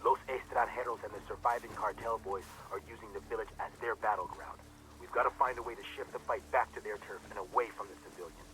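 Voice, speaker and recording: An actor playing a radio handler who gives matter-of-fact mission briefings. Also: male voice, fairly low pitch, fairly even intonation, fast talker, poor audio. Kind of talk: video game mission briefing. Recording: game audio with loud background noise.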